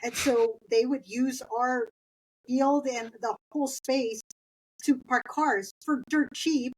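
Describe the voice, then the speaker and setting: A woman's voice: sits high, fairly even intonation, takes it slowly, a little mellow, slightly rough, rough recording. A middle-aged woman from East Los Angeles, whose first language is Spanish, telling stories from her school years as an interview guest. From East Los Angeles, Southern California. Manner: chatty, anecdotal, laughs while telling stories. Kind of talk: conversational interview storytelling.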